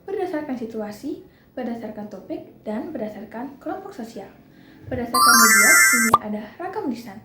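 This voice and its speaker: A woman's voice: a little high, a bit bright. A young woman presenting a class project, reading through lists of points with small slips and restarts. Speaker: student presenter. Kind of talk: class presentation explaining a topic. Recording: echoey room with clear background noise.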